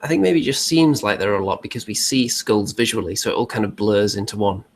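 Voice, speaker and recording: A man's voice: fairly low pitch, quick pace, slight background noise. A man co-hosting a hobby show in casual conversational chat.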